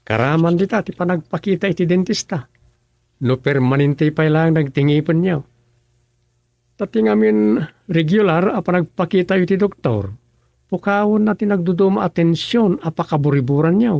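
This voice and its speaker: A man's voice: on the high side, normal speed, darkish tone, dry recording, clean studio sound, lightly acted. An actor with an Ilocano accent playing an easygoing, busy rural councilman in a radio drama, talking conversationally with frequent interjections. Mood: earnest, advising. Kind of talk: radio serial drama acting.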